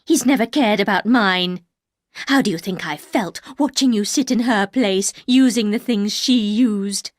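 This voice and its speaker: Woman reader doing a harsh, cold older housekeeper; low, slow and accusing, dry close sound. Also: dry recording, close to an everyday voice. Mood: bitter.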